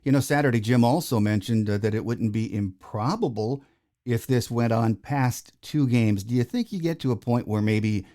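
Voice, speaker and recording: American man, sixties, soft dark tone, medium pitch, sports podcast interviewer. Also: moderate pace. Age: 60s. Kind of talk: conversational interview.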